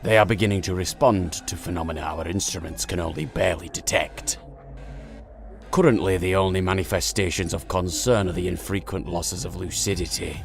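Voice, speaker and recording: A cold hospital researcher dictates patient notes in a low, gravelly voice. His delivery is formal and detached, in the style of a horror game, with music faintly behind. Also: steady tone, brisk. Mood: clinical, detached. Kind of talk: video game voice acting, clinical report.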